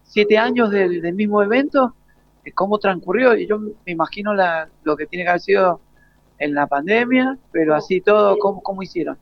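Very high-voiced Argentine man interviewing, dull sound. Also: moderate pace, creaky, dry recording. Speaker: radio interviewer. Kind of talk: informal live interview. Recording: dry, dull lo-fi broadcast.

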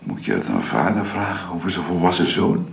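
An actor playing a caring, grave middle-aged or older father in a Dutch radio drama, speaking in a gentle, solemn manner. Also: sits low, sing-song, brisk, creaky, heavily acted. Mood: grave, tender. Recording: roomy, faintly noisy radio play recording.

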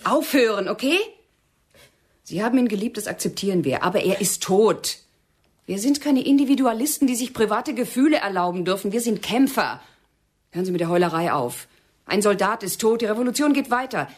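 A woman's voice: mid-range voice, animated, normal speed, husky. An actress in a radio drama playing a cold, domineering female militant interrogator, stern and mocking. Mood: harsh, commanding.

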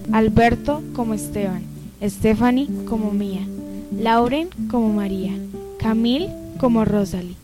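Woman reading cast credits over guitar. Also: a little high. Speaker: credits announcer. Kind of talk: reading radio drama credits.

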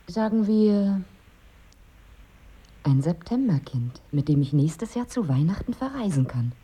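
A woman's voice: lowish voice, very animated, a little slow, dark tone, slightly rough, heavily acted. An actress playing a melancholy, bitter married woman in a radio drama, with a brooding, wry, weary manner and diction. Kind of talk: radio drama monologue and dialogue.

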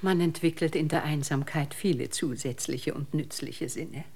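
An actress playing a very old, solitary hostess with a husky voice, lofty and ceremonious in a radio drama. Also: female voice, low voice, moderate pace, a little mellow. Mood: grand, serene. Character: very old, hermit-like lady hostess, serene and grand. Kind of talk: German radio drama acting.

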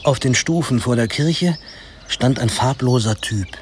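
A man's voice: mid-range voice, a little slow, background noise, lightly acted. An actor narrating a radio drama as a wry young man looking back on his troubled teens, with a dry, ironic manner. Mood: wry, reflective.

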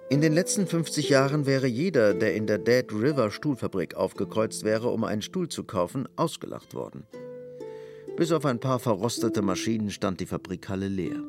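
A man's voice: fairly low pitch, animated, normal speed. A man reading scripted literary narration as the narrator of an audio drama. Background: music.

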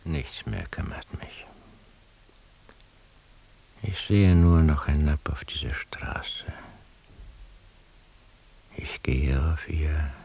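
Detached dreamy man, gravelly hushed murmur, slow brooding delivery, radio drama monologue, faint tape hiss. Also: rumbling low, full character voice. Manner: hushed, slow, brooding, often sinking toward a whisper. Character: detached, dreamy man lost in his solitary inner thoughts.

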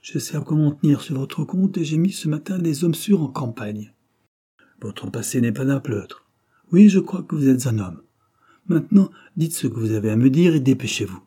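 Storybook doctor, middle-aged man, voiced by a male narrator: bossy yet kindly, blunt questioner, husky tone. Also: medium pitch, expressive, normal speed, warm tone, pitched above the performer's own voice. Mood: impatient, insistent. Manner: curt, commanding, firing questions.

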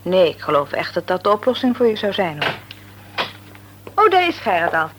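Frank, sociable family woman for radio drama: very animated intonation, emphatic delivery. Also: lowish voice, fairly quick, background noise. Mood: emphatic, insistent. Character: Maartje, chatty, frank, sociable sister-in-law and family friend. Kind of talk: Dutch radio play acting.

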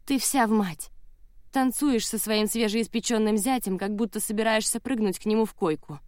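A woman's voice: mid-range voice, moderate pace, a little mellow, clear, resonant tone, no echo, clean studio sound, close to an everyday voice. A woman voicing a spiteful, scornful middle-aged aunt in an audiobook, hissing and accusatory. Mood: accusing.

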